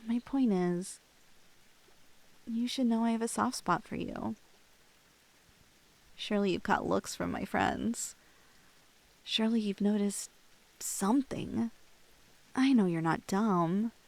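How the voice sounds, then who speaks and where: A young woman playing a shy, lovestruck cosplayer in an intimate ASMR roleplay, with girlish slangy speech and a halting, bashful manner, close on the mic. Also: medium pitch, vocal fry. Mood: tender, resigned. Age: young adult. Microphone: close, dry studio-style microphone.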